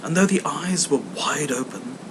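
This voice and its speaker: A man reading a classic ghost story aloud in an expressive, dramatic manner with emphatic stresses. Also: normal speed. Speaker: audiobook reader. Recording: clear background noise, low rumble and hum.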